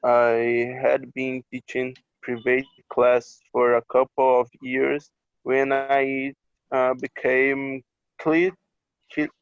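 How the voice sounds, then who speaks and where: A male student reading a sentence aloud in class, slow and halting. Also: mid-range voice, clean tone, dry recording, lo-fi sound.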